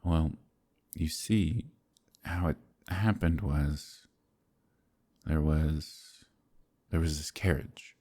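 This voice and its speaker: A man acting a courteous, brooding werewolf in human form, with a gravelly, husky voice and halting, old-fashioned speech in a dramatic ASMR roleplay. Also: extremely low pitch, warm tone, exaggerated voice. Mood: nervous, evasive. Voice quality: gravelly, husky, breathy at times. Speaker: voice actor in an audio roleplay.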